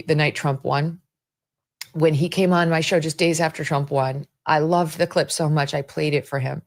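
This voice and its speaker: Low-voiced, fervent woman talk show host. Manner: fervent, emphatic. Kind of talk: political talk show commentary.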